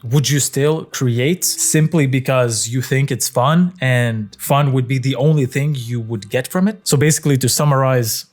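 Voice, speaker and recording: A young man speaks to the camera with a Lithuanian accent at a mid-range pitch, musing in an easygoing way. His English is conversational and loose, with fillers and the odd curse word. He sounds like a creator thinking out loud. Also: normal speed, hardly any pauses, soft background music. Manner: relaxed, conversational, frequent fillers and casual swearing. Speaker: content creator. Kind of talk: casual reflective monologue.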